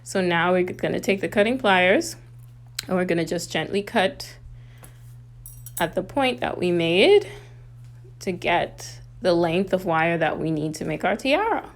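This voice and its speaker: A woman's voice: on the low side, very animated, unhurried. A woman from the Bahamas with a Bahamian accent hosts a casual, chatty how-to craft tutorial, talking through each step.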